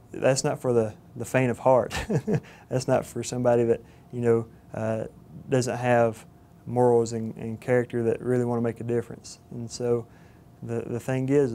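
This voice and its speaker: A young man in his twenties with a Southern Georgia accent, earnest and halting with fillers, speaking in an interview. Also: medium pitch, dark tone. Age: 20s. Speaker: cadet candidate. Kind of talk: interview testimonial. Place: studio with plain backdrop. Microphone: lapel mic.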